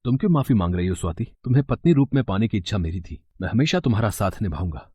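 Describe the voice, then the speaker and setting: A loyal, devoted young husband with a husky, lowish voice, played by a man. He speaks earnestly and with feeling in an animated storybook. The sound is dry, with no room in it. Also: fast talker, dry recording. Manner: earnest and emotional.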